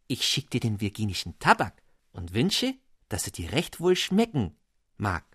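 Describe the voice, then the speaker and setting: A man's voice: fairly low pitch, wildly swooping pitch, normal speed, a bit bright, breathy edge, no echo, clean studio sound, heavily acted. A man voicing a cheerful, simple young noblewoman in a radio reading of a fairy tale, with eager exclamations. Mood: fond.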